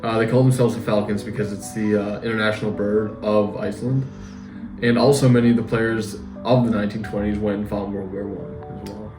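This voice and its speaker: A young man playing a lanky 1920 hockey winger, hesitantly reading scripted interview answers in a flat delivery. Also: lowish voice, fairly quick, lightly acted. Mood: matter-of-fact. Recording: echoey room, distant mic, faint music.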